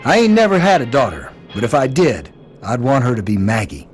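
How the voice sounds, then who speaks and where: A man's voice: mid-range voice, very animated, a little fast, soft background music, close to an everyday voice. An actor playing a folksy wasteland trader and protective father figure in a video game, with an American accent and a drawling manner. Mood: hearty, welcoming.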